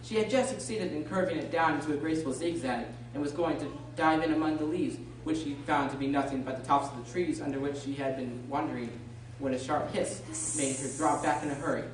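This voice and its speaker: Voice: medium pitch, a little fast, lightly acted. A narrator reading scripted storybook narration for an amateur radio drama. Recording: very echoey room, distant mic, noisy.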